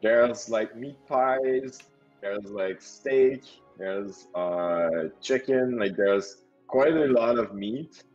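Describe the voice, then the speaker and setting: A man with a French Canadian accent running a tabletop game on a video call, halting with many fillers. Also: on the low side, darkish tone, dull audio, faint music behind. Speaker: game master.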